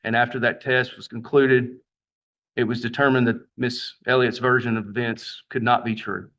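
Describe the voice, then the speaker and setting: Guy prosecutor reading out case facts in court, measured and formal, mid pitch, kinda dull lofi sound. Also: little pitch movement, fairly bright tone.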